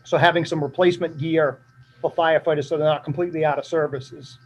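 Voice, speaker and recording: A man presenting a fire department budget at a town meeting, matter-of-fact and steady, his voice drying up. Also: on the high side, fast, slight background noise. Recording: dull lo-fi meeting recording.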